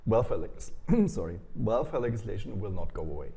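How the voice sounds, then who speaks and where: A man in his forties hosting a studio interview, asking calm questions and murmuring along as his guest answers. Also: lowish voice, fairly quick, gravelly. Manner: calm, questioning, with frequent soft backchannel murmurs. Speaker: host. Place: small indoor studio.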